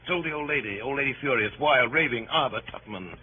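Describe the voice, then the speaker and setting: An actor playing a glib, scheming swindler in a 1930s radio play, speaking in clipped, melodramatic fragments. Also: male voice, mid-range voice, a little fast, dull audio, lightly acted. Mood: urgent conspiring. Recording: thin, noisy 1930s radio broadcast.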